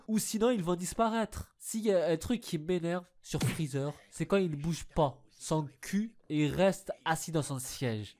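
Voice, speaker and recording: A man's voice: a little high, darkish tone. A man hosting a casual, humorous commentary on cartoon scenes, teasing the characters as if talking to them. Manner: teasing, addresses the characters directly.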